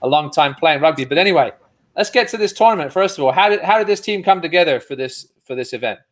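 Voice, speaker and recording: A man's voice: fairly high pitch, expressive, fast. A man hosting a sports show, talking casually as he interviews guests.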